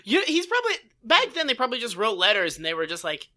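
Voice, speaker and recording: A man's voice: extremely high pitch, wildly swooping pitch, quick pace, bright, forceful tone. A man co-hosting a podcast with casual comedic commentary, riffing sarcastically and swearing freely. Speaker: podcast co-host.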